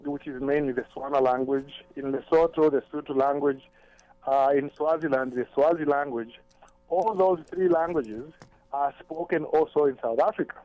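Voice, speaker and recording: A man from Zambia speaks as a radio show guest, calling in over a narrow, tinny phone line. He talks thoughtfully, often repeating words while he gathers his thoughts. His voice sits a little high and the sound is dry. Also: moderate pace, no echo. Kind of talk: radio talk show discussion.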